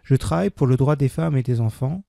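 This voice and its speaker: A man with a dark, gravelly voice reads a beginner French text at a measured pace. He repeats each sentence with careful diction. The recording is dry and close, with no room sound. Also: medium pitch, no echo. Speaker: French language teacher. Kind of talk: slow scripted reading for learners.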